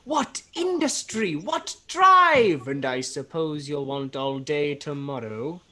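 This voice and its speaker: A man's voice: on the high side, big pitch swings, slow pace, sharp tone, exaggerated voice. A man acting in a radio play as a miserly, cold-hearted old businessman, curt, sarcastic and disdainful. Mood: grumbling, sarcastic.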